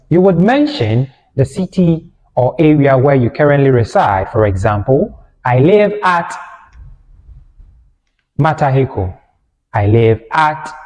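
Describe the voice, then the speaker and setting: A male language tutor speaks slowly and deliberately, with wide swings in pitch. His voice is soft-edged and mellow, and he carefully repeats phrases for beginners. The recording carries a long, hall-like reverb. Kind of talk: scripted beginner language lesson.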